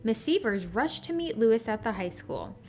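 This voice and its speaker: A woman's voice: a little high, big pitch swings, normal speed, a little mellow. A woman teacher reading a children's story aloud and adding simple explanatory asides.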